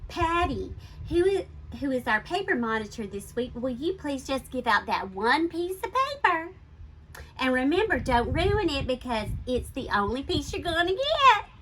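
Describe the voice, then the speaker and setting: A woman's voice: sits high, very animated, moderate pace, a bit bright, a little hiss or hum, poor audio. A woman voicing a strict first grade teacher from a children's storybook, firm and emphatic as she instructs the class. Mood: brisk announcing.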